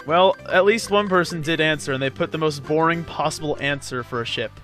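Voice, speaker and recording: High-voiced man hosting a game playthrough. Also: a little fast, fairly bright tone, soft background music. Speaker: host of a video game let's play. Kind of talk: casual joking gameplay commentary with a co-commentator.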